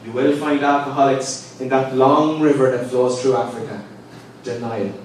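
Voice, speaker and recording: A young man from Ireland with an Irish accent, telling deadpan one-liners in a live stand-up set, pausing before each punchline. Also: medium pitch. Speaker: stand-up comedian. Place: live comedy gig with an audience laughing. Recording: distant, roomy live recording with audience noise.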